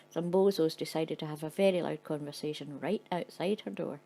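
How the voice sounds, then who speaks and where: Woman storyteller: dry, matter-of-fact narration, lowish gravelly voice. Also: a little mellow, poor audio. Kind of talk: scripted narration.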